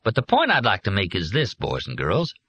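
A man's voice: lowish voice, huge pitch swings, fairly quick, pushed and bright, breathy edge, dry recording, full character voice. A man voicing a folksy male teaching machine that lectures children in a sing-song way.

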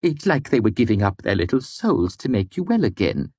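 A portly, anxious manservant whose words rush and trip over each other, as a male narrator puts him on. The voice rides noticeably higher than the narrator's own deep tone, thin and fretful. Recorded close and dry, with nothing around it. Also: very animated, a little fast, mellow, dry recording. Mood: tearful pleading.

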